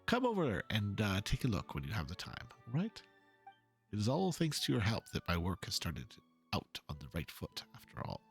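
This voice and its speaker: A man's voice: lowish voice, huge pitch swings, moderate pace, gravelly, soft background music, exaggerated voice. A man voicing an earnest, fretful painter from a video game, speaking politely in formal, wordy lines. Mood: earnest, grateful. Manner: formal, ponderous. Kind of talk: dramatic reading of game dialogue.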